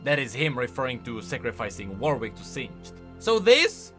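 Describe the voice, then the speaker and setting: A man giving casual reaction commentary on video game lore, expressive and emphatic as he thinks aloud. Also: mid-range voice, normal speed. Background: music.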